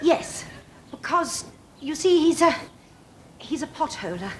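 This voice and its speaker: High-pitched English sitcom wife. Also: female voice, very animated, slow pace, exaggerated voice. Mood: dryly scheming. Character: long-suffering, wryly scheming English suburban wife. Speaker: sitcom actress. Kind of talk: British TV sitcom acting. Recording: noisy old TV sitcom recording.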